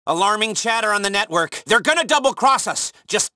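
An actor voicing a nervy, sarcastic male hacker who plans heists in a video game, with excitable, stammering asides. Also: sits high, brisk, no echo, clean studio sound, exaggerated voice. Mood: urgent. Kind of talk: video game mission dialogue.

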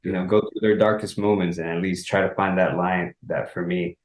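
A young man with a very low voice, talking earnestly and softly as an interview guest. He grew up speaking Spanish. The sound bounces around the room on a webcam call. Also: steady tone, a little fast. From El Salvador. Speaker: guest, author. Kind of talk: casual interview answers. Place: dark room, indoors, on a video call. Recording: roomy echo.

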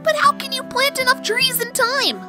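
A woman's voice: extremely high pitch, normal speed, over loud music. A woman voicing a brash, boastful young female pony in a fan cartoon. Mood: cocky. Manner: cocky, confident.

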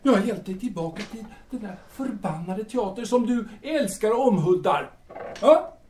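In an echoey room, a proud, bitter bohemian playwright acted by a man grumbles and dodges in a fairly high voice. His delivery is halting and theatrical, made for audio drama. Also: expressive, a little mellow, slight background noise. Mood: impassioned, scornful. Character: bitter, proud, evasive bohemian male playwright in 1890s Paris.

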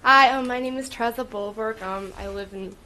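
A young woman from the United States giving a personal statement at a meeting, halting, with many "um" and "like" fillers. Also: on the high side, bright, forceful tone, slight background noise. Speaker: student speaking at public comment. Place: meeting room with audience.

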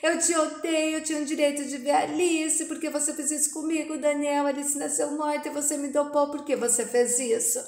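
A woman's voice: high-pitched, little pitch movement, a little fast, hardly any pauses, roomy sound, pitched above the performer's own voice. Woman voicing a sobbing, furious young mother. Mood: furious crying. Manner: shouting accusations through tears.

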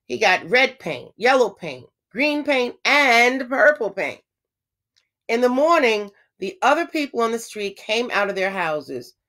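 A woman teacher reading a children's storybook aloud, with expressive phrasing and emphasized words. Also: fairly high pitch, measured pace.

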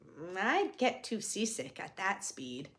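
A woman's voice: mid-range voice, big pitch swings, slow pace, fairly bright tone, voice pushed down, exaggerated voice. A woman voicing a wary, hard-to-please young boy in a picture book, answering with reluctant doubt.

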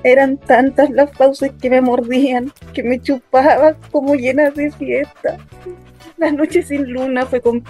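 A woman's voice: sits high, little pitch movement, unhurried, dark tone, husky. A woman playing a young working-class Chilean daughter in a dramatic first-person radio play. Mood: haunted, tense. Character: young working-class daughter recounting her assault, wounded, bitter. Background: music.

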